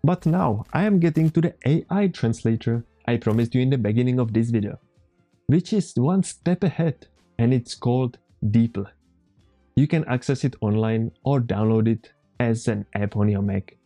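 A man in his thirties with a Czech accent, calmly walking viewers through a computer tutorial step by step as the channel's host. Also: mid-range voice. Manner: calm, methodical step-by-step explaining. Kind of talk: screen-recorded software tutorial. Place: home room, indoors.

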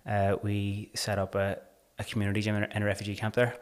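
A man's voice: on the low side, completely flat delivery, quick pace, clear, resonant tone. A man in his thirties with a Belfast accent, a podcast guest talking earnestly in casual conversation, often restarting his sentences. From Northern Ireland. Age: 30s. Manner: earnest, searching, frequent restarts. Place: small bright studio room. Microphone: desk mic on boom arm.

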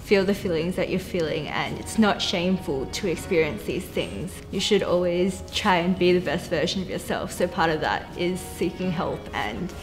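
Young woman, seated panel chat, lowish voice, faint music under, hesitant filler-heavy delivery. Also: expressive, moderate pace, few pauses, poor audio. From Australia. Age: young adult. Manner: thoughtful, uses many fillers like "like". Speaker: panel guest. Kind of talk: casual group conversation. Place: bright studio with plants, indoors.

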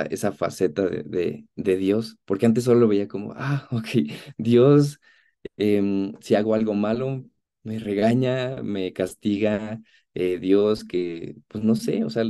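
A man of about thirty with a Mexican accent, giving an earnest, conversational personal testimony in a halting way over a video call. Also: lowish voice, warm tone. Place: indoor room at home, on a video call.

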